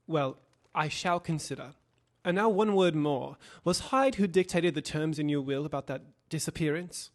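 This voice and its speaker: A man acting a cautious, concerned gentleman lawyer in an audio drama, formal and questioning. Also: on the high side, moderate pace, lightly acted. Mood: probing.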